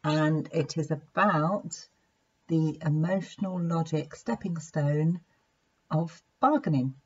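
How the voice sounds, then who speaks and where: A woman narrates a story for children with expressive, deliberate delivery. Her voice sits on the low side and has a clearly husky edge. The recording is dull and lo-fi. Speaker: play therapist reading a children's story. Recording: dull lo-fi sound.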